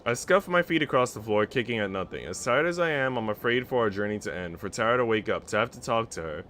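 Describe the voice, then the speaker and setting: A man's voice: fairly low pitch, expressive, quick pace, a bit bright, a little hiss or hum. A man livestreaming, mixing slangy, rambling casual chat and swearing with reading a visual novel aloud. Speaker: game streamer.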